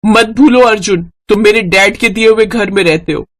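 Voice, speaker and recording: Casting: woman as young, snobbish, nagging wife for a moral cartoon; raised pitch, condescending bite. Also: moderate pace, natural voice. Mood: suspicious, threatening. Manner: sharp, condescending, complaining. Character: haughty, scolding young wife, contemptuous of poor in-laws. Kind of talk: Hindi moral-story cartoon dubbing.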